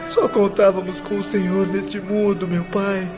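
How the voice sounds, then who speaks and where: High-voiced, anguished young son. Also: male voice, flat delivery, over music. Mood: bitter reproach. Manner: anguished, lamenting, drawn-out delivery. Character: grieving, reproachful grown son of a suicide. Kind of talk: radio drama acting.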